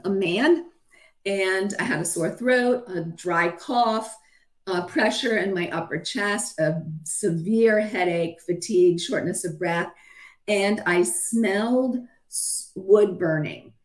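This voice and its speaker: A middle-aged woman with a hoarse voice giving a matter-of-fact slide talk about her own illness over a video call, with frequent ums. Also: mid-range voice, takes it slowly. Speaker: physician sharing her own illness story. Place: home room, video call. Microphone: webcam on a video call.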